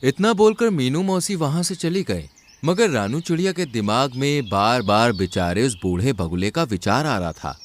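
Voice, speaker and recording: A man's voice: mid-range voice, animated, normal speed. A man narrating a scripted children's cartoon story.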